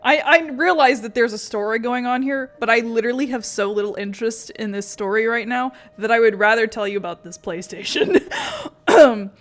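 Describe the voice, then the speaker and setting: A woman streams a game and rambles casually over it. Her voice is fairly high, her manner blunt, sarcastic and sweary, with faint music behind her. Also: sing-song, normal speed. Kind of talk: casual, rambling gameplay commentary.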